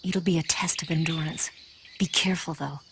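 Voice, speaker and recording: A composed female spy from a video game. Her voice is low, and she speaks in a measured, reassuring way. A faint bed of insect noise runs behind her. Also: steady tone, fairly bright tone. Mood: calm, cautionary. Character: composed, encouraging female spy briefing an ally. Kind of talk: dramatic video game voice acting.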